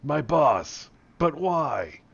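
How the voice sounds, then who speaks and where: A man voicing a tough, earnest action hero in a comic story, delivering deliberate, melodramatic one-liners. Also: on the high side, sing-song, gravelly, fairly bright tone, husky, lo-fi sound, pitched above the performer's own voice, exaggerated voice. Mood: dramatic.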